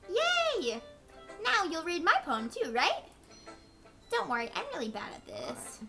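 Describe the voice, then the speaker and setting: A bubbly, sweet schoolgirl from a visual novel game, read out by a woman. Her voice sits high and swoops up and down lively, with game music playing behind. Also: a little slow, creaky, exaggerated voice. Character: cheerful clumsy teenage schoolgirl.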